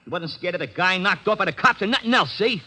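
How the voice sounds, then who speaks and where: An actor playing a touchy, slangy young street tough in an old-time radio drama. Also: male voice, high-pitched, lively intonation, fast talker, pushed and bright, exaggerated voice. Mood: touchy, angry. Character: cocky, touchy young male street hoodlum. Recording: very noisy old radio recording with clicks.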